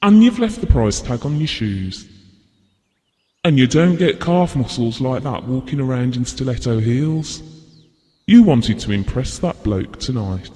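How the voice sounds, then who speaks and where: Actor as cynical male people-watcher, apologetic yet blunt, warm-toned, animated intonation, naturalistic radio play. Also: mid-range voice, a little slow, soft background music, close to an everyday voice. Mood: gentle, probing. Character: observant male stranger who people-watches, gentle, frank, cynical. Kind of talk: naturalistic audio drama acting. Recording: long reverb on the voice.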